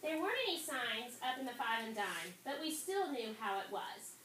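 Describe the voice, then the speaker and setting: A roomy, somewhat distant recording with clear background noise carries a woman teacher's voice. She reads aloud and instructs in a fairly high voice, stopping to put questions to her young students. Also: big pitch swings, moderate pace, a bit bright. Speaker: fifth-grade teacher. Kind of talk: classroom read-aloud and instruction. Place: classroom.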